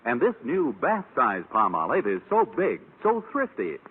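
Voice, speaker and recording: Hugely animated male radio announcer, tinny sound. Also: measured pace, husky. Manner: emphatic sales pitch. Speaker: commercial announcer. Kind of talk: 1940s-50s radio commercial copy reading. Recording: tinny, reverberant old radio broadcast.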